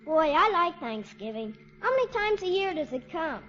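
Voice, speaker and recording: A woman's voice: very high voice, big pitch swings, normal speed, a bit bright, heavily acted. A woman playing a chirpy, blunt, innocent little American boy in a 1950s radio comedy. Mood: cheerful, eager. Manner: frank, childlike. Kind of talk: 1950s radio sitcom acting. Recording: muffled, very noisy old radio recording.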